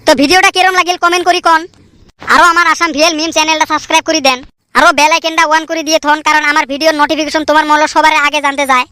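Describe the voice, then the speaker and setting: High-voiced woman, cheeky banter, bright and quick. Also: flat delivery, no room sound. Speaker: cartoon channel creator and voice of several characters. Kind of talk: cartoon comedy voice acting.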